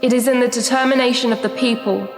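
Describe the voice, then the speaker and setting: A woman's voice: fairly high pitch, steady tone, fast, music in the background, natural voice. An actress in a radio play playing an idealistic, weary woman poet with a dry, guarded manner. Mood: earnest, declaiming. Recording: roomy echo with long reverb.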